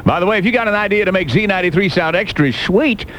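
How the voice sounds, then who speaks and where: A man's voice: sits high, fast, a bit bright, slight background noise. A man hosting a radio show, bantering comically with a caller in theatrical, slangy phrases. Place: radio studio.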